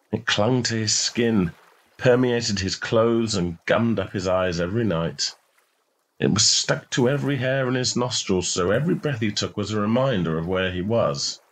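A man narrating a short story in a measured, expressive literary reading style. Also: lowish voice.

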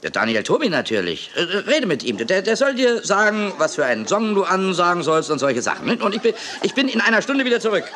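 A man's voice: sits high, very animated, fast, hardly any pauses, bright, forceful tone, exaggerated voice. A man playing a fussy, scatterbrained, overbearing TV director who stammers and repeats himself in a children's audio drama. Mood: flustered, dismissive.

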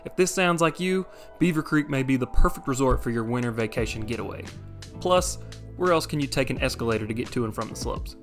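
A man's voice: medium pitch, animated, a little fast. A man in his thirties giving a casual, enthusiastic, conversational voice-over review as a vlog host. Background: background music.

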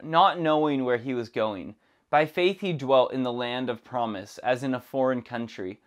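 A young man presenting a scripted Bible lesson in a measured, even reading voice, recorded on a lapel mic in a dark studio. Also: medium pitch. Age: young adult. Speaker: Bible teacher. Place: dark studio with plants behind.

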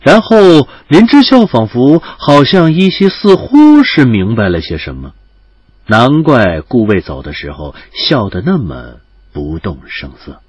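A man narrating a campus romance audio drama as a gentle storyteller, his phrases trailing off softly. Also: medium pitch, wildly swooping pitch, unhurried, breathy edge, no echo, exaggerated voice. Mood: tender, dramatic. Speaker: narrator and actor. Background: music.

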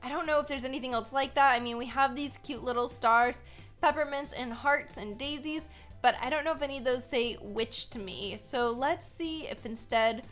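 A young American woman hosts a gaming video in a high, bright voice. Her commentary is casual, chatty and expressive, full of exclamations, with music playing in the background. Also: normal speed. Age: young adult.

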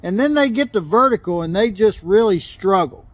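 A middle-aged man with a fairly high voice explains his welding work outdoors in a gravel yard. He talks in a plain, practical way, circling back and restarting phrases as he goes. The camera sits close to his face. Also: lively intonation, moderate pace, no room sound. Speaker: welder teaching on camera. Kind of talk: hands-on welding tutorial.

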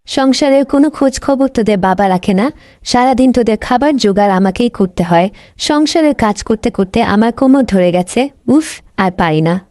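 A woman's voice: fairly high pitch, expressive, moderate pace, a little mellow, no room sound, studio quality, faint music behind, lightly acted. Grumbling, nagging cartoon mother animal, played by a woman. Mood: exasperated, complaining. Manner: scolding, complaining. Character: grumbling overworked mother animal, nagging about her idle husband. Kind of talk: children's cartoon voice acting.

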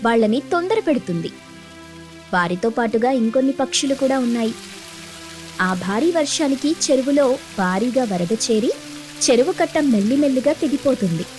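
A woman with a high voice narrates a children's story as a storyteller. The delivery is scripted narration, with music playing behind her. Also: lively intonation, moderate pace, pauses often, darkish tone.

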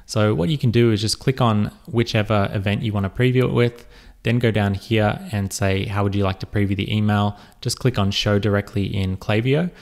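A man giving a relaxed step-by-step software tutorial over a screen recording, with frequent fillers. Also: fairly low pitch, fairly quick.

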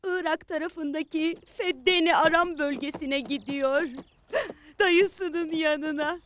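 A woman's voice: sits high, fairly even intonation, slow pace, a little hiss or hum. A woman playing a devoted villager in a religious cartoon. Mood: earnest. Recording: loud music over the voice.

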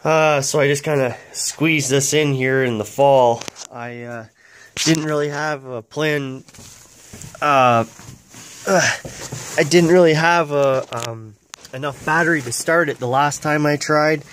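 A man in his thirties with a hoarse voice from a cold, chatting casually with many fillers while filming in a garage and a yard. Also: a little high. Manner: halting, many fillers and restarts. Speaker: vlogger. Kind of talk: casual chat. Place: garage, then outdoors in a yard. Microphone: handheld action camera. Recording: loud engine noise. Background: idling van engine.

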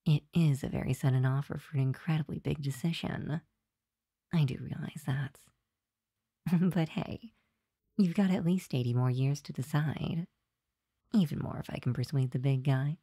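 A woman playing a sweet, tired demon girlfriend in an intimate ASMR roleplay, talking softly and affectionately to the listener. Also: low voice, husky, no room sound, studio quality. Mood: hopeful, coaxing. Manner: soft, affectionate, sighing, confiding. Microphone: close microphone, dry room.

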